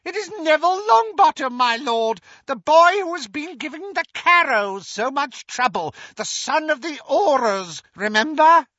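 A man voicing a cruel, fanatical witch who speaks quickly and shrilly in eager devotion. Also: very high-pitched, big pitch swings, sharp tone, dry recording, lo-fi sound, voice pushed up, heavily acted. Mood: delighted.

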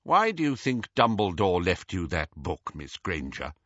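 A man voicing a stern, suspicious older government minister in an audiobook, with measured, insistent questioning. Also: sits low, huge pitch swings, fairly bright tone, no room sound, highs cut off, full character voice. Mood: probing, suspicious. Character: stern, probing older male government minister interrogating youngsters. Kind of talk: audiobook character voice.

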